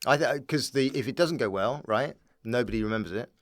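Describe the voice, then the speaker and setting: A man's voice: mid-range voice, brisk, no room sound, clean studio sound. A man from Dorset with an English accent, telling anecdotes as a comic guest in playful, casual chat. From Dorset, England. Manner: playful, animated riffing, often breaks into laughter. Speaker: stand-up comic, podcast guest.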